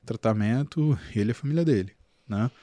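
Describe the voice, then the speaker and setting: A man with a Brazilian Portuguese accent, a physician talking on a podcast in an animated, emphatic storytelling way. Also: medium pitch, fairly quick, no echo. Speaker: physician guest. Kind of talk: casual podcast interview.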